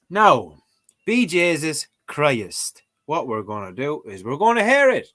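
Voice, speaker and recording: A man's voice: a little high, wildly swooping pitch, takes it slowly, a bit bright. A man casually commenting on a livestream as he works through a language lesson, joking and throwing in mock exclamations. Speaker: streamer learning a language. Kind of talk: casual live-stream commentary.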